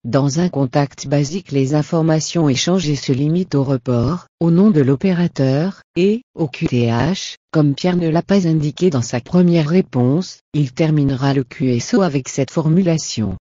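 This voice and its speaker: A man's voice: fairly high pitch, moderate pace, hardly any pauses, warm tone, no echo. A man giving scripted instructional narration as the teacher of a lesson. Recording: dull, muffled, dry voice-over.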